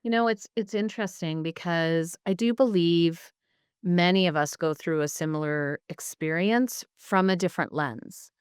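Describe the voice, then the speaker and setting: Female podcast host, smooth and resonant, lowish voice, measured questions, dry close mic. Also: dry recording. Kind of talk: interview questions.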